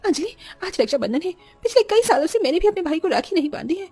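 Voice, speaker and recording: Lady playing a pushy jealous village wife in a cartoon, talks fast, scolding. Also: very high voice, very animated, dark tone, soft background music, heavily acted. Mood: pleading. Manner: scolding, insistent. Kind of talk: animated horror story dialogue.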